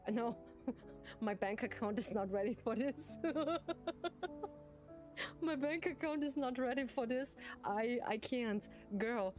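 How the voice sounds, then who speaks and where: Giggly woman chatting slowly. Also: fairly high pitch, darkish tone. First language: German. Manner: giggly, laughs often. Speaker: streamer. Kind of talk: casual chat with viewers. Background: game music.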